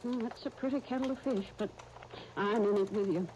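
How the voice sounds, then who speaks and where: A woman's voice: medium pitch, a little fast, a little mellow, lo-fi sound, close to an everyday voice. A woman playing a blunt, proud but kind-hearted spinster dressmaker, no longer young, in a 1930s radio soap. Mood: brisk, proud. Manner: blunt, crusty, wry, opinionated. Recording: faintly noisy vintage 1930s radio recording.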